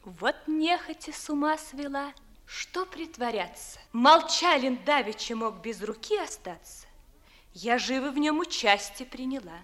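A woman's voice: high voice, animated, a little slow, fairly bright tone. An actress playing a proud, cool young society lady in a 1950s Russian verse radio play, reproachful and haughty in a theatrical style. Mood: indignant. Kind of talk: 1950s Russian classical stage acting in verse.

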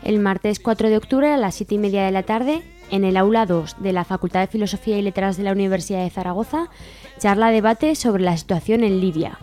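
A woman's voice: mid-range voice, brisk, mellow, soft background music. A woman from Spain, co-hosting a radio show and reading out event listings.

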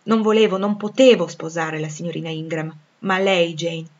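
A woman voicing a passionate, tormented gentleman in love, commanding and imploring, in a dramatic audiobook. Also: fairly low pitch, steady tone, normal speed, highs cut off, natural voice. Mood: ardent declaration. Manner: urgent, imploring, emphatic. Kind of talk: dramatic audiobook character dialogue.

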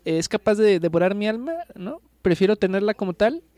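A man's voice: sits high, brisk, a little mellow, no echo. A man talks through ideas about books at an easy pace. He thinks aloud, circles back on his phrases and keeps tagging them with questions to his co-host. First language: Spanish. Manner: thinks aloud, tag questions after nearly every phrase. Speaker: book club podcast co-host. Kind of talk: casual literary discussion.

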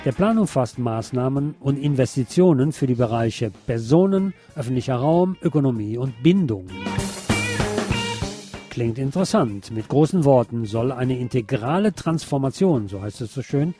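A man presenting radio news as scripted commentary, speaking deliberately with a wry edge. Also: medium pitch, expressive, music in the background.

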